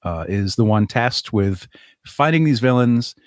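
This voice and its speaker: A man's voice: mid-range voice, animated, measured pace, no room sound, studio quality. A man speaking as an interview guest, explaining his creative project. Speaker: podcast guest, audio drama creator.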